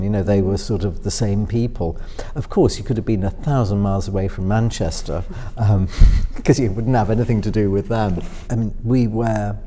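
A middle-aged man from Northwest England with a softened upper-middle-class accent, musing through interview answers with many fillers and restarts. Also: on the low side. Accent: upper-middle-class Northwest English softened by London. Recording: voice with long hall reverb.

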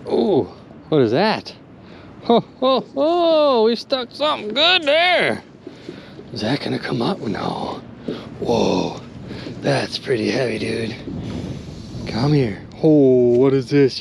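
Young male vlogger on a river boat, lively swinging pitch, excitable outdoor chatter, faint noise behind. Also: creaky. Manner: animated, exclaiming, chatty with viewers. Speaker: magnet fishing vlogger. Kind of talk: excited casual narration to viewers.

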